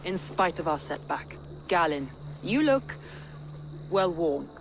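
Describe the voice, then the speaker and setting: A woman's voice: lowish voice, sing-song, slow pace, background noise, heavily acted. A woman playing a resolute female Viking jarl in a dramatic video game, speaking in a declamatory way. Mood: proud, warm. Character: proud, commanding female Viking jarl, warm to her warriors.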